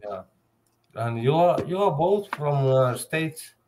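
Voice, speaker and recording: A Finnish man in his fifties chatting casually in halting English with a Finnish accent, searching for words. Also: fairly high pitch, expressive, darkish tone, slight background noise. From Finland. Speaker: livestream guest. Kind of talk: casual chat. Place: indoor room at home, video call.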